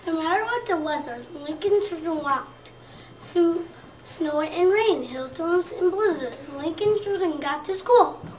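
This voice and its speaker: A young child of about seven or eight, a second grader, reading a scripted narration aloud in a careful, halting way. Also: very high-pitched, expressive. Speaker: second-grade student reader. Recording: roomy, distant mic, noisy background.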